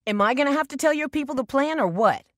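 A woman's voice: high-pitched, expressive, fast, dry recording. A woman voice actor playing a guarded, blunt, protective young survivor of a zombie apocalypse in a video game, with a dry delivery. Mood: urgent, frustrated.

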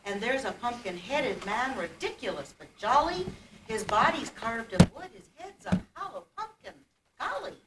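A woman playing an excitable, jolly puppet storyteller, reciting rhymed verse in a singsong theatrical way. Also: on the high side, moderate pace, close to an everyday voice. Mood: merry. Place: indoor puppet stage, far camera. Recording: distant, echoey, noisy old camcorder tape.

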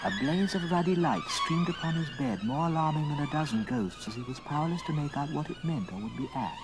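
A man narrating a dramatic story in a theatrical, measured old radio style. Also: on the high side, animated. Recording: old record, music behind.

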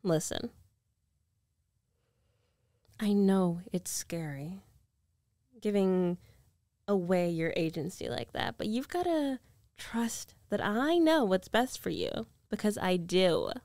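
A woman's voice: medium pitch, sing-song, takes it slowly, long pauses, a little mellow, no room sound, studio quality. A woman playing a rich, possessive ex-girlfriend in an intimate ASMR roleplay, coaxing and self-assured with a condescending edge. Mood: coaxing, controlling. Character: rich, domineering, possessive young ex-girlfriend coaxing her lover back. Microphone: close microphone, dry.

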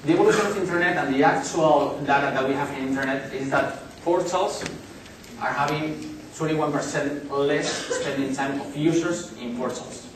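Spanish-accented male presenter, thirties, halting delivery; distant, very echoey hall recording. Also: on the high side, noisy background. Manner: halting, many fillers and restarts. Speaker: conference speaker. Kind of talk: business presentation. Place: large hotel conference hall. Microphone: far camera in the audience.

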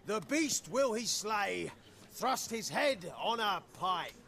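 A man's voice: high-pitched, expressive, takes it slowly, creaky, sharp tone, a little hiss or hum, full character voice. A man playing a scheming villainous page in a stage play, declaiming theatrically in rhymed verse.

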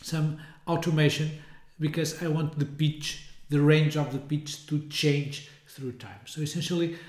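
A man in his thirties giving an informal, hesitant software demonstration, often rephrasing as he goes. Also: a little high, fairly even intonation, unhurried. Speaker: software tutorial presenter. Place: indoors, small room with window blinds. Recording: roomy, echoey indoor sound.

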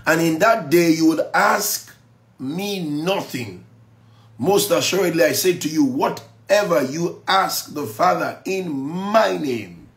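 A man in his forties, a pastor teaching from a Bible passage with slow, emphatic preaching that swings from soft to loud. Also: on the high side, echoey room. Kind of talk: morning Bible teaching, reading and explaining verses. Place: small office room with blinds, indoors. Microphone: phone camera close up.

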